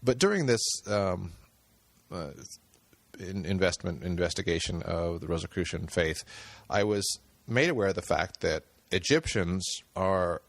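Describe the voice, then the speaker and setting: A man's voice: low voice, lively intonation, a little slow, creaky, dry recording. A man talking as a guest on a podcast, thinking aloud with many fillers and restarts. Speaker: podcast guest, screenwriter and director. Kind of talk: casual interview talk.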